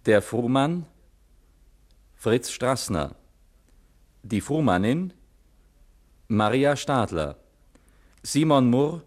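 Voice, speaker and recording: A man working as a radio announcer, reading scripted credits in a measured, formal 1950s broadcast manner. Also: mid-range voice, lively intonation, a little mellow.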